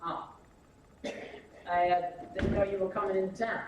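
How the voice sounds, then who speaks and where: An actor playing a coarse, swaggering working-class husband who drawls and mocks lazily in a 1950s film drama. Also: male voice, high voice, monotone, normal speed, noisy background, natural voice. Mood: mocking. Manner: drawling, lazy, mocking. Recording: dull, echoey, distant old film soundtrack.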